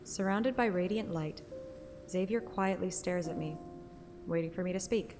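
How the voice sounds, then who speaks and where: A woman's voice: on the low side, normal speed, in a large hall, over music. A woman narrating a fantasy romance game story in an audiobook style. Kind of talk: audiobook narration with acted dialogue.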